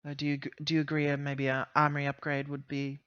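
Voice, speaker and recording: A man's voice: a little high, completely flat delivery, fast talker, pushed and bright, clean tone, no echo. A man playing an earnest corporate agent briefing his crew in a tabletop roleplay, hesitating as he searches for words, on a lo-fi recording. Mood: earnest, explanatory.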